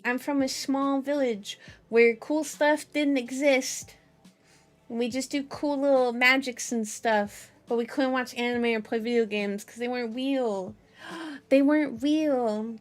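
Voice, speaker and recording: A young woman streamer chatting casually in a playful, silly, giggly manner with cutesy slang, like she is hosting a livestream introduction. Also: a little high. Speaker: VTuber streamer, channel host.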